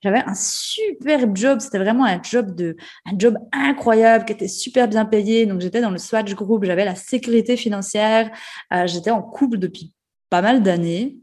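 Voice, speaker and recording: A woman's voice: mid-range voice, moderate pace, darkish tone. A young woman with a Jura Swiss French accent, hosting a podcast and candidly sharing her story in a conversational way, with frequent fillers and restarts. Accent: Swiss French, Jura (Ajoie). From Switzerland, Jura. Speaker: podcast host, nutrition therapist.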